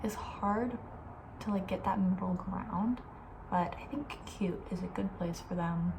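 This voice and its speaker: Soft-spoken ASMR woman, noisy recording. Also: mid-range voice, measured pace. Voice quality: soft, breathy, often near a whisper. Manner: chatty, informal, thinking aloud. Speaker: ASMR video host.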